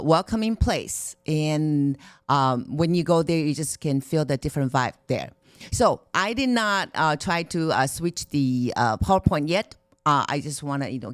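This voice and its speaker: A woman's voice: deep voice, no echo. A woman giving a conference presentation as a marketing director, chatty with many fillers and restarts. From Taiwan. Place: conference room.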